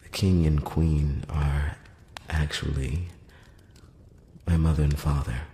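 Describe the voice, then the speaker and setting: A man playing a courteous, curious elf prince in an intimate ASMR fantasy roleplay, speaking softly and formally with some hesitation, close to the mic. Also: a bass voice, fairly even intonation, slow, full character voice. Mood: sheepish, confiding. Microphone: close-up microphone. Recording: roomy long reverb, background hiss.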